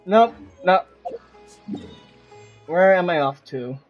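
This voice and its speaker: A man's voice: high-pitched, expressive, slow pace, highs cut off. A man giving casual live commentary as he streams a video game. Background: game music.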